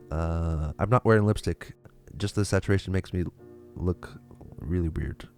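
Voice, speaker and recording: A man's voice: fairly low pitch, a little mellow. A young man from Lithuania with a Lithuanian accent, chatting casually on a livestream and answering viewer questions, with many fillers and restarts. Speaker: livestream host.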